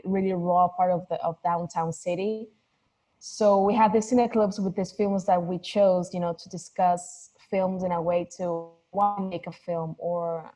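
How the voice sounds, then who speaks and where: A woman in her thirties from Mexico, speaking an over a video call. Her voice sits low and mellow, and she talks thoughtfully, pausing and restarting as she searches for the right words. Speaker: filmmaker guest. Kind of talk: casual interview conversation.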